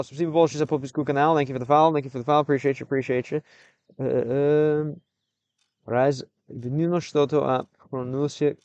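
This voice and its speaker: A young American man chatting with his stream viewers, switching between English and halting Russian, pausing often as he reads the chat. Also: medium pitch, a little mellow, no echo, dull audio. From United States.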